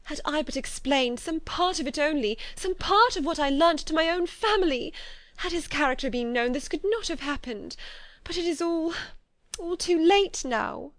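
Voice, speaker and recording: Distraught young woman, voiced by a female reader, high-pitched, pleading, dry audiobook recording. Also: fairly quick, dry recording, close to an everyday voice. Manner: anguished, pleading, breaking with emotion. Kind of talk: audiobook character voice.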